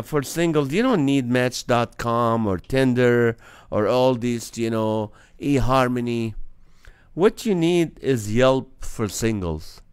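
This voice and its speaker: A man's voice: mid-range voice, clear, resonant tone, no room sound, clean studio sound. A man who grew up in the Middle East, hosting a casual live-stream comedy chat and laughing between his jokes. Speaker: comedian host.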